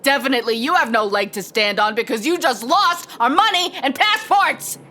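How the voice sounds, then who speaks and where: An actress playing a bossy, sarcastic, short-tempered sister in a comedic audio play, exasperated and biting. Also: female voice, high-pitched, normal speed, sharp tone. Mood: angry, sarcastic. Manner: biting, exasperated, foul-mouthed.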